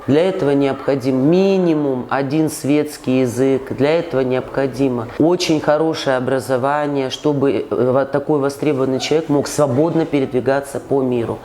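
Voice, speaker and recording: A man's voice: mid-range voice, mellow, slight background noise. A man answering a street interview, reasoning analytically with slight hesitations. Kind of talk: reasoned vox-pop answer.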